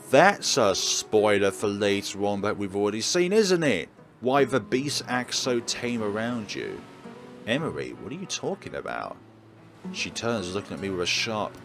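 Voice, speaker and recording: An animated man hosts a let's play with a fairly low voice that swings widely in pitch. He muses aloud between passages of visual novel text that he reads out. Music plays clearly behind him.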